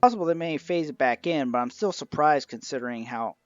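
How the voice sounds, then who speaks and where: A man's voice: on the high side, expressive, fairly quick, dry recording, highs cut off. A man narrating an informal review of a collection as its reviewer. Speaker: reviewer of an old radio show collection. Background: music.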